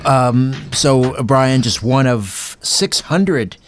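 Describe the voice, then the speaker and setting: A man hosting an interview, asking questions in a casual, halting, conversational way. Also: medium pitch, slight background noise. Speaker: interview host.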